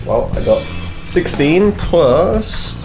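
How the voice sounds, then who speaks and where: A playful man talks through his moves as a tabletop game player. His voice is on the high side and swings a lot in pitch, with music behind it. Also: big pitch swings, drawn-out words. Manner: jokey, playful. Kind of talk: casual game chat. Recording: music playing under the voice.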